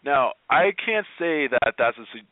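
A man speaking as an interview guest, telling personal stories in an expressive, emphatic and informal way. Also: mid-range voice, unhurried, no echo. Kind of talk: conversational personal storytelling. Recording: phone-like narrow-band online call.

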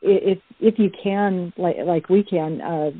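A woman's voice: fairly low pitch, steady tone, unhurried, no echo, narrow, tinny audio. A woman who acts, talking casually and explaining earnestly in a panel discussion over thin call audio. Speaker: voice actor and cast member.

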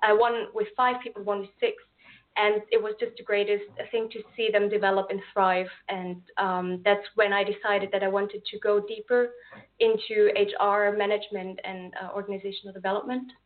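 A woman's voice: medium pitch, level tone, moderate pace. Austrian-accented young woman on a phone-like call. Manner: friendly, earnest, with some fillers and restarts. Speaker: guest, event organizer. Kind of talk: casual interview over a call.

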